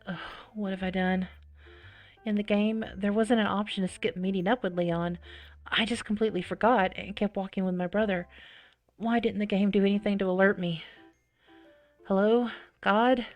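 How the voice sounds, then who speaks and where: Expressive woman reading a game over music. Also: mid-range voice, normal speed, lo-fi sound. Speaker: video game let's-player. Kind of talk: reading visual novel aloud with reactions.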